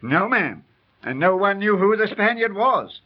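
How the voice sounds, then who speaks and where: An actor playing a surly, unlucky old sailor turned burglar, grumbling in rough colloquial speech in a 1930s radio drama. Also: male voice, sits high, big pitch swings, unhurried, exaggerated voice. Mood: bitter storytelling. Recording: muffled, band-limited 1930s radio recording.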